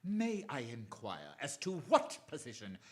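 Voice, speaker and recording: A man playing a menacing, coldly polite criminal mastermind in a radio drama, speaking formally and deliberately. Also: medium pitch, huge pitch swings. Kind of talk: stage-style radio drama acting.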